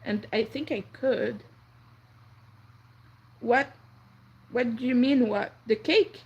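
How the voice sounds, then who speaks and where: A young woman whose first language is French, chatting softly and rambling on a crochet livestream. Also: fairly high pitch, takes it slowly, breathy edge, dull audio. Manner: soft-spoken, rambling, counts under her breath. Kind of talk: casual chat while crocheting.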